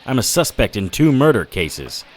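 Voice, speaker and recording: An actor playing a wry, hardboiled 1940s private eye in a noir radio drama, narrating and trading sardonic lines. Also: male voice, mid-range voice, sing-song, moderate pace, faint music behind, lightly acted.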